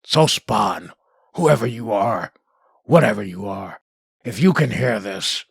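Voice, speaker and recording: A man playing a gravelly, opinionated vigilante supporter. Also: lowish voice, animated, slow pace, dry recording, studio quality, exaggerated voice. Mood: fervent, defiant. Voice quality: gravelly, husky. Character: gruff, opinionated, street-weary man cheering on a vigilante. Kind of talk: comic book dub acting.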